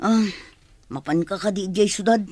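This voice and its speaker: A woman's voice: medium pitch, moderate pace, dark tone, no room sound, lightly acted. An actress playing a nosy, suspicious mother in a radio drama, blunt and needling in manner.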